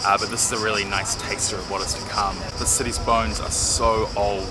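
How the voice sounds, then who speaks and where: A man's voice: fairly low pitch, level tone, a little fast, very noisy. A man chatting casually and enthusiastically as a playful travel vlogger. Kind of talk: casual vlog chat. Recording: loud music behind the voice.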